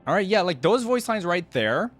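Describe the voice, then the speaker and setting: A man's voice: sits high, sing-song, moderate pace, fairly bright tone. Man casually commenting, music faintly behind. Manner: musing, agreeing in short interjections. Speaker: reaction video host.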